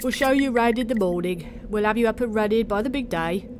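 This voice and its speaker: A performer voicing a gruff, grimy little gothic elf from a storybook, brusque and wry. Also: mid-range voice, brisk, background noise, pitched above the performer's own voice, close to an everyday voice. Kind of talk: storybook character voice.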